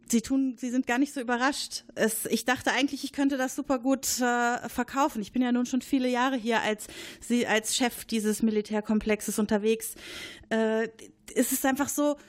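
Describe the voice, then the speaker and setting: A woman's voice: on the high side, moderate pace, close to an everyday voice. Woman playing a secretive military colonel. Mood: confiding. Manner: hesitant, evasive, then confiding in hushed tones. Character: middle-aged woman colonel secretly living as a man. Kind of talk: improvised murder-mystery roleplay.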